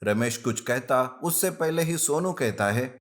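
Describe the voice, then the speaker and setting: A man's voice: medium pitch, expressive, measured pace. A man narrating a scripted children's moral story as a storyteller.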